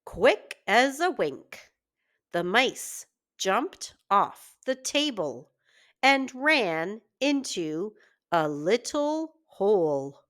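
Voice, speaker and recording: Very slow, expressive woman reading to children. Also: mid-range voice. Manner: deliberate, word-by-word phrasing for early readers. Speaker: teacher reading aloud. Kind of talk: children's story read-aloud, scripted narration.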